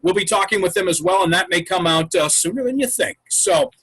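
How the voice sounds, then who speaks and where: A man hosting an improv troupe's home episode, chatting over a video call and telling stories in a relaxed, talkative way. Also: high voice, fairly quick, husky. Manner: chatty storyteller, wraps up the show. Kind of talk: casual chat. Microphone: video call mic.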